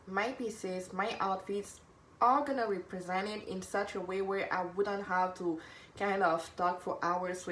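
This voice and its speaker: A woman from there, giving an earnest, informal live talk as a personal stylist, rephrasing and repeating for emphasis. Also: medium pitch. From Cameroon. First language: French. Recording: echoey room with background noise.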